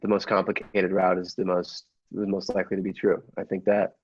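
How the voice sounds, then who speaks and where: Man as a low-voiced, muddled inspector. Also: monotone. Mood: defensive, muddled. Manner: halting, repeating himself. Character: evasive, muddled police inspector defending himself. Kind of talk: improvised comedy radio play. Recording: dull, lo-fi close recording.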